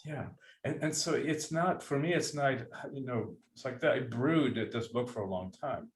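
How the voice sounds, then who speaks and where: An earnest, reflective man from Cuba talks through his ideas on a video call. He pauses and restarts as he searches for words. His voice rings with a roomy echo at a middling pitch. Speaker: guest author and breathwork teacher. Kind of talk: conversational interview. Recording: echoey room sound.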